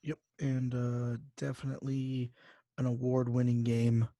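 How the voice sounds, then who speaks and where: A man's voice: medium pitch, little pitch movement, measured pace, mellow, no room sound. Sarcastic man on a podcast, dry sound. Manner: sarcastic, repeats phrases for emphasis. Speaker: podcast co-host. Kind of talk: casual chat, reacting to a co-host.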